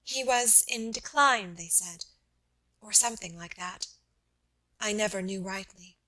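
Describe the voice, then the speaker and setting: A woman narrator plays a young wife mourning a lost love in an audiobook story. Her voice is veiled and sorrowful, with halting phrases and a bright, aching tone. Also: mid-range voice, poor audio. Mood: veiled sadness. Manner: sad, halting.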